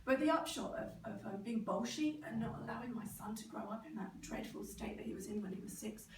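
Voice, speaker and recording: A middle-aged British woman with a croaky, sore-throated voice, giving an informal, animated talk and sometimes mimicking other people. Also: a little high, fairly quick. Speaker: speaker, neurodevelopment specialist. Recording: roomy, distant microphone, clear background noise.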